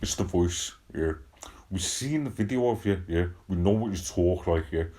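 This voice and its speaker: Man putting on a brash Scouse hard man, deep voice, blunt, sweary, comic podcast chat. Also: normal speed, warm tone, close to an everyday voice. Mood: confrontational. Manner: blunt, punctuates phrases with "yeah, right". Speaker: podcast host.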